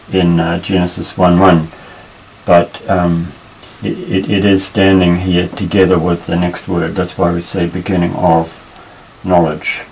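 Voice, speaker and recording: A man teaching a Bible Hebrew lesson at a table, explaining patiently with many pauses and restarts. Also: sits low, slight background noise, low-quality recording. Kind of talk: unscripted tabletop lesson, explaining while pointing. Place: indoors at a table.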